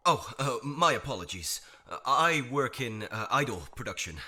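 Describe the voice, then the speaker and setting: A man's voice: mid-range voice, expressive, normal speed, pushed and bright. A man voicing a gushing, polite idol talent scout in an anime dub. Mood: gushing, flustered. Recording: music behind the voice.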